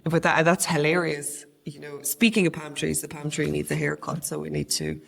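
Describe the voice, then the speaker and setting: A woman's voice: sits low, fairly even intonation, normal speed, vocal fry. An Irish woman co-hosting a podcast, talking in an earnest, conversational way. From Ireland. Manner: earnest, conversational, with frequent restarts. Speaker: podcast co-host. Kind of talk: casual podcast chat.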